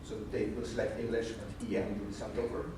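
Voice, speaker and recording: A man's voice: lowish voice, flat delivery, quick pace. A man whose first language is Swedish presents a technical demo at a conference, walking through code step by step in an informal way with frequent restarts. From Sweden. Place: large conference room. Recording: echoey hall, voice far from mic, noisy.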